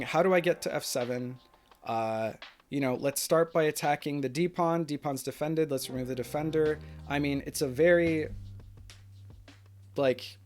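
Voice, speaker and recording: A young man streaming, casually analyzing a chess game in a rambling way full of fillers and restarts, his throat strained from hours of talking. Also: fairly high pitch. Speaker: streamer reviewing chess games.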